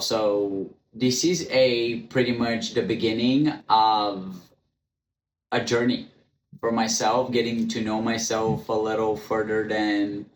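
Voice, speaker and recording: A man hosting his own podcast, speaking English as a second language, talking frankly with stutters, restarts and many ums, now and then swearing. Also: mid-range voice. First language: not English. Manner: frank, blunt, swears casually. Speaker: podcast host. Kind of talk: candid solo podcast monologue. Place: room, recording on camera. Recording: echoey room, faint ambience.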